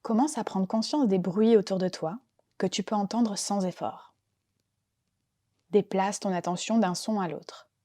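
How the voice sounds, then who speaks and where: A woman gently and calmly guiding a relaxation meditation as a yoga nidra teacher, unhurried and soothing in her delivery. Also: mid-range voice. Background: soft background music.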